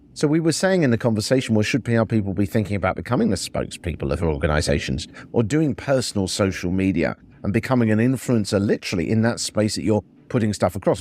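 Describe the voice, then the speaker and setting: A man's voice: mid-range voice, a little mellow. A middle-aged man hosting a solo podcast, speaking in a reflective, conversational way and sharing personal anecdotes. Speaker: podcast host. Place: hotel room.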